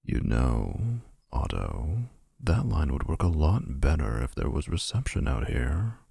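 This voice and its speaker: Man doing a male vampire suitor, gravelly, dark tone, dry sarcastic jabs, roleplay audio. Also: a bass voice, sing-song, measured pace, no echo, exaggerated voice. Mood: mocking. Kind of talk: boyfriend ASMR roleplay.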